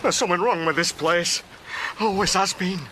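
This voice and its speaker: A man's voice: high-pitched, normal speed, natural voice. An actor playing a fearful village man, blunt and urgent as he gives a secret warning in an audio drama. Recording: clear background ambience noise.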